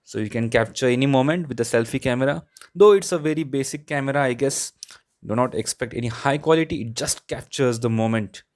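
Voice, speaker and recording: A man's voice: medium pitch, fairly quick, a little mellow. A man giving a casual unboxing and product review, explaining plainly and repeating his points. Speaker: tech reviewer, host.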